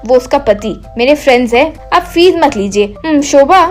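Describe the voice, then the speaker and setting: Woman playing a breezy, high-voiced rich friend. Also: expressive, normal speed, a little mellow, soft background music. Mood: generous.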